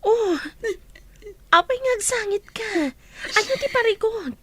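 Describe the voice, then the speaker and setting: A woman with a Filipino accent playing an expressive, concerned young woman in a radio drama, animated and questioning. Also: very high-pitched, slow pace, dry recording, exaggerated voice. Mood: worried. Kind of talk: Ilocano radio drama acting.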